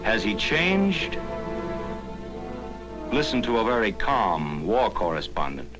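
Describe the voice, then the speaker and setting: A man's voice: fairly low pitch, wildly swooping pitch, takes it slowly. An American man delivering scripted narration in the style of a 1940s newsreel. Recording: 1940s film soundtrack with prominent music. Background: music.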